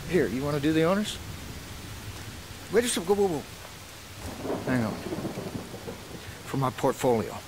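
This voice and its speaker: An American actor playing a middle-aged, cantankerous fugitive hacker in a video game, folksy, sardonic and foul-mouthed. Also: male voice, on the high side, very animated, unhurried, pauses often, background noise. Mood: gleeful. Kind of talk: video game cutscene acting. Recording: loud music behind the dialogue.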